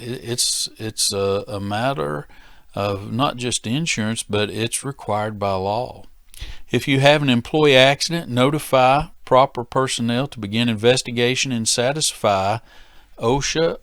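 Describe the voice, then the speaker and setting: A man's voice: mid-range voice, dry recording, clean studio sound. An American man giving an instructional safety training talk as an instructor, emphatic and repeating key phrases for stress.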